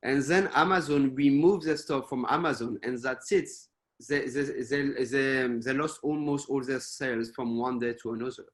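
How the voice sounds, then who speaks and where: A man with a French accent, a guest speaker on a webinar over a video call, halting with many fillers and restarts. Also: mid-range voice, steady tone. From France. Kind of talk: presenting to a webinar.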